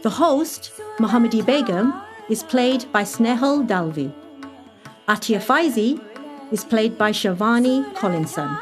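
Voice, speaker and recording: A woman's voice: on the high side, lively intonation, unhurried. A woman reading scripted closing credits as an announcer. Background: faint sitar music.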